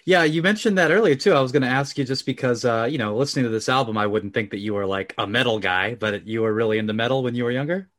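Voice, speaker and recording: A man's voice: medium pitch, quick pace, few pauses, a bit bright. A man hosting an interview, asking easygoing, curious questions. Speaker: interviewer, host.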